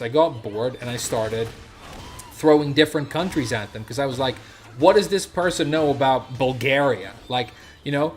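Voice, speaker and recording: Rambling man chatting on a stream. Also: medium pitch. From Sweden. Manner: rambling, many fillers and restarts. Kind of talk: casual storytelling on a stream.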